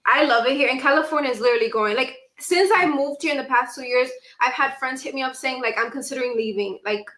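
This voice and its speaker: A woman's voice: high-pitched, quick pace, reverberant. A young woman from the Dominican Republic and New York City, talking casually and animatedly as a podcast guest. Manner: animated storytelling, acts out her own past remarks. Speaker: podcast guest, actress and content creator. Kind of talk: casual conversational interview.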